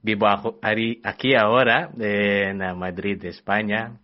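A man's voice: lowish voice, steady tone, unhurried, dull audio. A man from the Philippines with a Filipino accent, speaking careful, earnest Spanish he is still learning. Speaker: missionary in formation.

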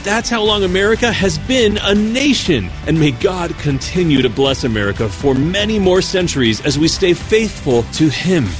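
Lively, high-voiced man reading a promo. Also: normal speed, few pauses, soft background music. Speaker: morning show host. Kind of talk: scripted promo.

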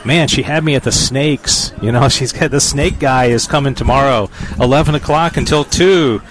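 A man's voice: mid-range voice, normal speed, noisy background. A man reporting live on location, chatty and enthusiastic, often restarting his sentences.

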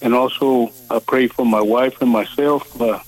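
Halting man in his seventies. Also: mid-range voice, fairly even intonation, low-quality recording. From Texas. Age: 70s. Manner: slow, halting, earnest. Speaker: caller. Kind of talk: phone-in prayer request.

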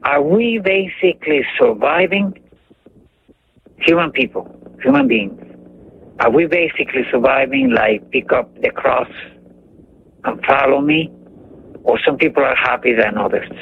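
An older man from Cuba with a Cuban accent, calling in to a radio show and talking haltingly, searching for words. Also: a little high, big pitch swings, vocal fry. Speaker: caller. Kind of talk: call-in radio chat. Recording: tinny phone line with faint noise.

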